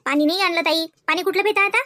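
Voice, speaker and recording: कार्टूनमधील बडबड्या, खेळकर तरुण गावाकडच्या सुनेला आवाज देणारी स्त्री, वऱ्हाडी बोलीत. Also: female voice, very high-pitched, fast talker, bright, forceful tone, no echo, studio quality. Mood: chatty, playful. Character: young village wife, chatty, playful, eager for raw mangoes. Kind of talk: comedy cartoon dialogue.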